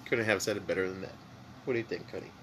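A man whose first language is Spanish, speaking with a Mexican accent as a podcast co-host, rambling in a relaxed way with many fillers while high. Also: very fast, background noise. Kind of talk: casual chat.

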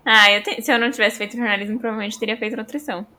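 Female Brazilian podcast host, quick pace, slightly high voice, informal chat. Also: steady tone, a crowd in the background. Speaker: podcast host, trained journalist. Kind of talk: casual chat.

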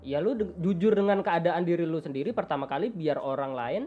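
A man from Java talking in a lively, slangy way as a podcast host. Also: a little high, a little fast, narrow, tinny audio, faint music behind. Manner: animated, chatty, slangy. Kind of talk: casual chat.

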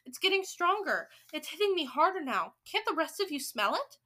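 Woman doing a shrieking, very high-pitched witch. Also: very animated, moderate pace, exaggerated voice. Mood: frantic alarm. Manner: shrieking, yelling. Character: tall excitable witch Mildred, shrill and urgent.

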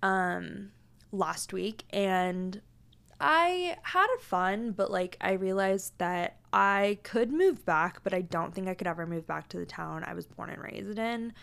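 A young woman in her twenties hosts her own podcast, talking frankly and casually, full of "like"s. Her voice is smooth and resonant, with a gravelly fry at the ends of phrases. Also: mid-range voice, expressive, slow pace, a bit bright. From Washington State, USA. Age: 20s. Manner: frank, chatty, heavy use of "like". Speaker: podcast host. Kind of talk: casual solo podcast chat.

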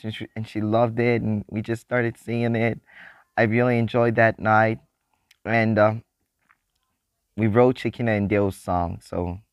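A man's voice: smooth, no echo, clean studio sound. A seventeen-year-old young man, chatting in a faith vlog, happy and rambling with frequent restarts and laughs. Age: teens. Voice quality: voice newly deepened. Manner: excited, happy.

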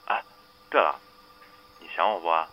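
A man's voice: deep voice, moderate pace, a little hiss or hum. An actor playing a brash, clingy, affectionate young male student in a Chinese audio drama, slangy and swaggering, heard through a telephone filter. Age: young adult. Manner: slangy, swaggering yet needy. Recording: dull band-limited phone-call sound.

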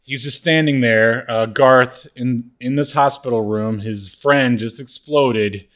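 A man's voice: mid-range voice, slow pace, a bit bright. A man co-hosting a podcast, casually recapping a show in a laid-back, hesitant way. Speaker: podcast co-host.

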